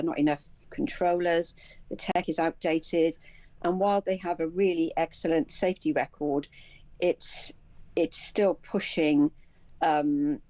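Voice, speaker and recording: Low-voiced woman giving news commentary. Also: dark tone, no echo, low-quality recording. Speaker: aviation and travel commentator, interview guest. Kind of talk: live news interview commentary.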